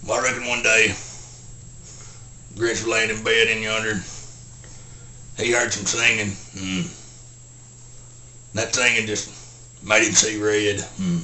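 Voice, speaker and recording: Halting rural Southern simpleton storyteller, forceful edge, monotone, grunting hums, film-parody style, faint background noise. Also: male voice, fairly low pitch, roomy sound, full character voice, poor audio. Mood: deadpan, plodding. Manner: halting, deadpan, grunts "hmm" between short sentences. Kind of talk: folksy storytelling, film character impression.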